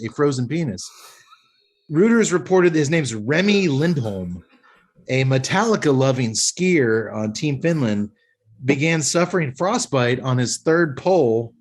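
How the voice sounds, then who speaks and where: A male podcast host talks with a lively, swinging pitch. He reads out a news item in a joking, wisecracking way, riffing with his friends between sentences. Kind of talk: casual comedic banter.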